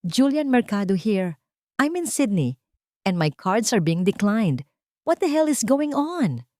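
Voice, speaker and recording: A woman voicing an arrogant, hot-tempered wealthy husband in an audio romance story, with a Filipino accent and a forceful, demanding manner. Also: mid-range voice, very animated, measured pace, no echo, clean studio sound, pitched above the performer's own voice. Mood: angry, demanding.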